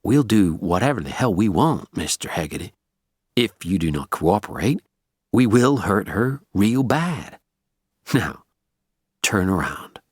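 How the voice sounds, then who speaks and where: A man voicing an old hired gunman in an audiobook, coldly polite, menacing and deliberate in his speech. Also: fairly low pitch, sing-song, darkish tone, slightly rough, no echo, studio quality, full character voice. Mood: icy threat. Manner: slow, deliberate, coldly courteous.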